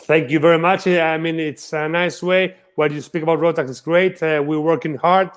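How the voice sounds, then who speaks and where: A man's voice: on the high side, fairly even intonation, normal speed. Hesitant man speaking English. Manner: hesitant, slightly broken English with fillers. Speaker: guest.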